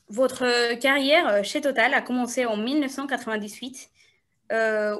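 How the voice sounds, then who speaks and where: A woman's voice: a little high, a bit bright. A woman interviewer at a live event, reading out a guest's career biography with frequent hesitations. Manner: halting, frequent hesitation fillers.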